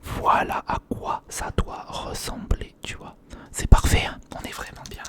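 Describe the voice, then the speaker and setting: A man speaking in a breathy whisper close to the microphone, informally and reassuringly talking the listener through each step in ASMR style. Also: unhurried. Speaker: ASMR video creator. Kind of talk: whispered ASMR build-along. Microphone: close sensitive recorder microphone.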